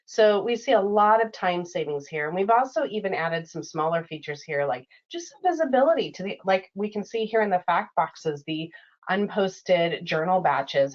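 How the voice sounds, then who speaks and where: A woman explaining a software product in a conversational style, as an expert from the company. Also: mid-range voice, dull audio.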